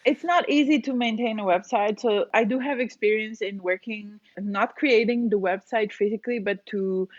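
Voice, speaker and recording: A woman's voice: mid-range voice, moderate pace, hardly any pauses, dry recording. Explanatory woman in her forties, lo-fi. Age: 40s. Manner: chatty, explanatory, laughs often. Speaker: guest, cave diving instructor. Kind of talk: casual interview talk.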